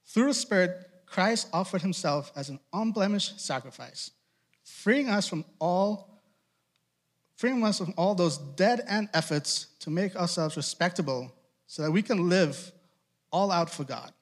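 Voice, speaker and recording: A man's voice: high voice, lively intonation. A young man from Trinidad with a Trinidadian accent touched by Boston, preaching a casual, conversational youth sermon as a ministry director. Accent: Trinidadian, with Boston influence. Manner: conversational, asks rhetorical questions, quick asides.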